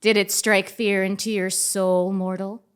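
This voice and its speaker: A woman plays a grandiose female dragon slayer in a stage comedy. She declaims very slowly and solemnly at a middle pitch, like a self-important challenger. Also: little pitch movement, smooth, close to an everyday voice. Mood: grandiose, defiant. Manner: solemn mock-epic declaiming. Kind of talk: comic stage play acting before a live audience.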